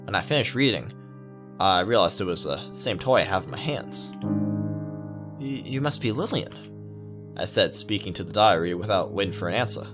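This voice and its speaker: Male let's-player, low voice, casual halting read of visual novel text, guitar music behind. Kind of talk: casual read-aloud narration with asides.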